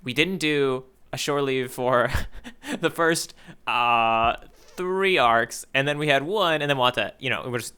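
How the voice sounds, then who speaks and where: A man's voice: mid-range voice, sharp tone, clean tone. A man co-hosting a podcast, enthusiastic and joking in casual banter. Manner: enthusiastic, quick to joke, cheers and exclaims. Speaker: podcast co-host.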